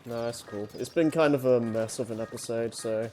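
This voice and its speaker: A man's voice: medium pitch, normal speed, warm tone, soft background music. A man co-hosting a casual livestream who agrees easily, laughs a lot and answers briefly. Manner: agreeable, laughing, short replies.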